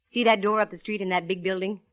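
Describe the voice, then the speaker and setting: Female private eye, played by an actress, American, sharp and plucky, medium pitch, vintage radio broadcast acting. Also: fairly even intonation, fast, close to an everyday voice. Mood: firm, threatening. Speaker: lead actress and narrator. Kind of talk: 1940s radio detective drama acting.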